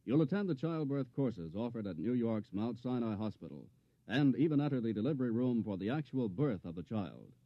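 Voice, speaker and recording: A 1950s American radio announcer with a mid-pitched man's voice, reading promotional copy. The sound is a dry, dull vintage broadcast recording with little top end. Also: very animated, a little fast, dry recording.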